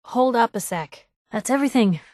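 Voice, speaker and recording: An actress playing a feisty, wry young newspaper reporter in a video game, with an American accent and a conversational, sardonic delivery. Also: female voice, fairly high pitch, animated, a little mellow, dry recording, studio quality, natural voice. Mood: thoughtful. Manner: sardonic, conversational, quick-witted asides. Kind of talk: video game companion dialogue.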